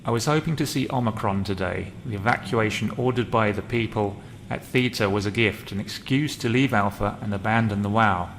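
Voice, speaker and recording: A man's voice: on the low side, fairly quick, few pauses, rough recording. A man narrating a game role play and reading journal entries aloud. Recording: loud background noise.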